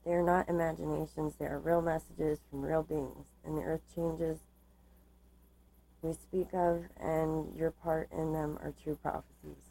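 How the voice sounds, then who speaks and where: A woman giving a tarot card reading, reading aloud and explaining in a measured, halting way, her voice slightly starting to give out. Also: sits low, level tone, gravelly, dark tone, slight background noise, rough recording. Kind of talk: tarot reading, part read aloud from cards, part informal explanation.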